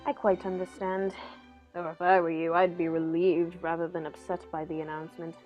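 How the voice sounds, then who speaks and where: A woman playing a courteous, shrewd gentleman detective in a formal, measured radio-play manner. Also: lowish voice, flat delivery, muffled recording, faint music behind, natural voice. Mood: knowing. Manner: polite, measured, formal, knowing. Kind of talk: 1940s radio play acting.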